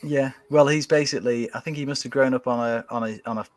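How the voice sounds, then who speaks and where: A man's voice: medium pitch, fairly even intonation, quick pace, no echo. A man chatting casually as a podcast guest, rambling with many fillers and restarts. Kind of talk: casual chat.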